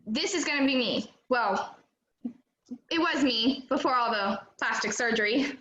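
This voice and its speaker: A young woman in an amateur stage play, acting a melodramatic, chatty runaway high school senior who tells her story earnestly. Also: a little slow, fairly bright tone, highs cut off. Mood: dramatic, confiding. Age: young adult. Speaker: student actor in a play. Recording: roomy, echoing sound.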